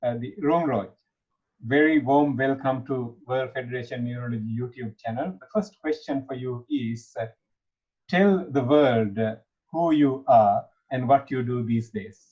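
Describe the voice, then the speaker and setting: A man's voice: medium pitch, measured pace, lo-fi sound. A middle-aged man from Sri Lanka, hosting a formal online interview, courteous and hesitant with frequent "uh" fillers. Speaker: interviewer, chair of a brain awareness campaign.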